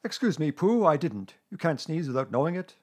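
Man putting on a loud, high, pompous owl. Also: sing-song, brisk, slightly rough, heavily acted. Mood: indignant. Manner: pompous, emphatic, declaiming. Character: pompous, self-important, loud talking owl. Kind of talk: children's storybook character voice.

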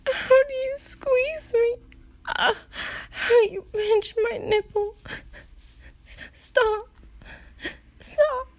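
A woman playing a proud, distressed adult demigoddess in an audio roleplay, with halting, breathy exclamations. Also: extremely high pitch, full character voice. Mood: distraught.

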